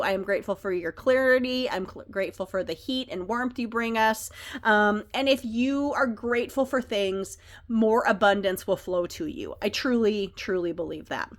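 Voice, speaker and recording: A woman reading oracle card messages, gentle and earnest, her voice a bit scratchy from a cold. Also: mid-range voice, moderate pace. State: slightly scratchy, recovering from a cold.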